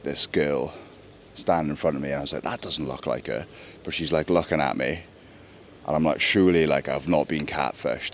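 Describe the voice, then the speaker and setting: A young man with a deep voice, chatting earnestly to camera in a casual vlog. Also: a little hiss or hum. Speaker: vlogger. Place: indoors, then outdoors by a pool.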